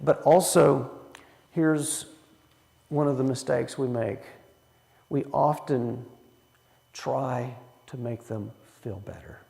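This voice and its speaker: A man's voice: medium pitch, takes it slowly, creaky, pauses often, dark tone, in a large hall. A man leading a church Bible study in a folksy way, asking the room questions as he teaches. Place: church hall.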